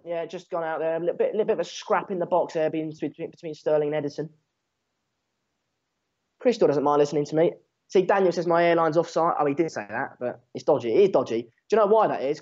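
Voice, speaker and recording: A man's voice: on the high side, a little mellow. A seventeen-year-old English man, a native Dutch speaker, chatting casually and sarcastically on a football watchalong stream in slangy, matey, sweary language. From England. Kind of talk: casual live match commentary and chat with viewers. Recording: dull lo-fi stream mic.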